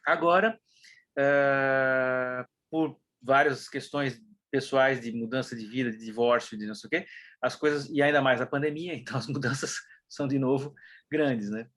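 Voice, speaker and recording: A Brazilian man with a southern Brazilian accent, a guest telling stories casually in an interview, lively and full of fillers. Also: medium pitch, normal speed. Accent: southern Brazilian Portuguese. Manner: animated storyteller, mimics people, many fillers like né. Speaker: interview guest, business consultant.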